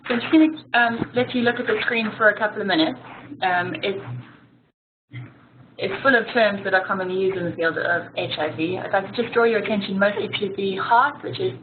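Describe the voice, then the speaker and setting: A woman with a gentle South African accent, a physiotherapist giving a friendly, explanatory webinar presentation with frequent ums. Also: mid-range voice, brisk. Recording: tinny phone-line audio with clear hiss.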